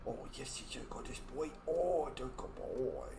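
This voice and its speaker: A man's voice: medium pitch, sing-song, unhurried, gravelly, a little mellow, reverberant, a little hiss or hum. A man hosting a roleplay let's play, chatting casually and playfully voicing his character's replies. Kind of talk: casual gameplay commentary with voiced replies.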